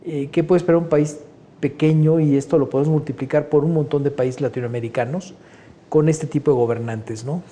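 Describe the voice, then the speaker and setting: Male guest, 60s, Mexican accent, very dark voice, conversational with frequent fillers. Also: on the high side, fairly even intonation, a little fast. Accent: Mexican Spanish. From Honduras. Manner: conversational, opinionated, many fillers like "este". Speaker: guest painter. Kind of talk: interview answers.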